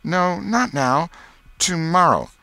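Man putting on a timid little schoolgirl, raised pitch. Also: expressive, takes it slowly, creaky, pushed and bright, dry recording, full character voice. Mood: hesitant. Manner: timid, hesitant. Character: shy, sweet, naive little schoolgirl.

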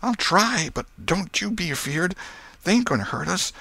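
A man voicing an anxious young boy in a storybook, hushed and urgent, with rustic speech. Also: high-pitched, lively intonation, normal speed, creaky, husky, dry recording, voice pushed up, heavily acted. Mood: frightened, urgent. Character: anxious, whispering young boy, superstitious but reassuring.

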